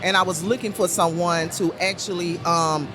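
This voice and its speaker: A woman with a deep voice talking as a podcast guest, rambling with many restarts and fillers. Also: steady tone, moderate pace, music in the background. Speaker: guest, puppet show creator. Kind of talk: casual podcast conversation.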